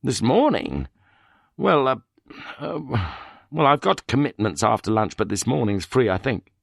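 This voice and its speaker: A man's voice: medium pitch, a little slow, creaky, no room sound, studio quality, pitched above the performer's own voice, full character voice. A man voicing a reserved, unemotional middle-aged male scholar on the phone in an audiobook. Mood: flat. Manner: flat, unemotional.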